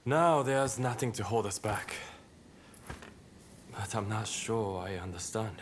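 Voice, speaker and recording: A man playing a level-headed, skeptical samurai rebel in a video game, measured and matter-of-fact. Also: fairly low pitch, a little hiss or hum. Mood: wary, reasoning. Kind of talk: video game cutscene acting.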